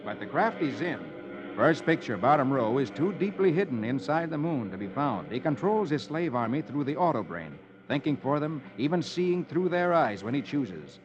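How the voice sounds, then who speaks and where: An actor playing a jolly comics-reading friend to children, in a theatrical 1950s radio storytelling style. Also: male voice, mid-range voice, normal speed, close to an everyday voice. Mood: dramatic storytelling. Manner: jolly, animated. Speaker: radio host and comics reader. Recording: lo-fi old radio, loud music behind.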